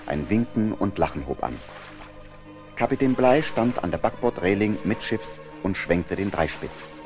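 Low-voiced man narrating an adventure audio drama. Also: darkish tone.

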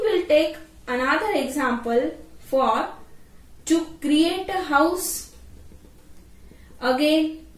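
A woman explains step by step like a teacher, repeating phrases and checking in with short tags. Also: high-pitched. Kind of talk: explanatory tutorial lecture. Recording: roomy echo, distant microphone.

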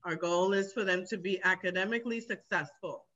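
Bright-voiced woman teacher on a muffled call. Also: lowish voice, brisk. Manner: earnest, repeats words for emphasis. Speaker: middle school ESOL teacher. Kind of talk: interview answer, explanatory.

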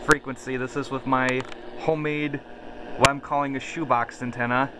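A man's voice: mid-range voice, little pitch movement, moderate pace, over a noisy crowd. A man giving a casual, unscripted hobby demonstration in a hesitant, self-deprecating way, indoors on a handheld camera. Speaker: hobbyist video maker. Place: indoors in a carpeted room at home. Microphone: handheld camera mic. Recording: noisy home camera recording, radio static.

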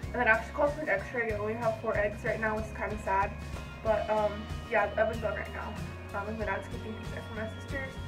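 A woman's voice: mid-range voice, fairly even intonation, quick pace, low-quality recording. A young woman talking casually to the camera while baking in her home kitchen, rambling and chatty with lots of fillers. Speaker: vlogger. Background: background music.

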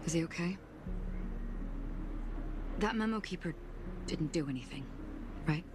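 An actress playing a calm, enigmatic swordswoman in a game, speaking in a composed, deliberate and solemn way. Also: lowish voice. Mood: guarded, soft. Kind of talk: scripted game dialogue. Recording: game dialogue over background music.

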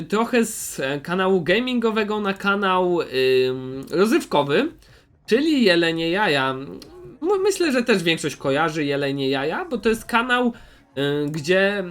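A man's voice: high-pitched, fairly bright tone. A young man giving casual, unscripted recommendations in a rambling, hesitant, repetitive way, like a YouTuber talking to viewers. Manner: rambling, hesitant, repeats himself.

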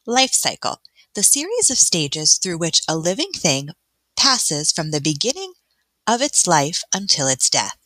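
A woman teacher reading an educational book aloud for children, adding explanations in a clear, expressive, instructional way. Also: lowish voice, a little slow, no room sound. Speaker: elementary school teacher.

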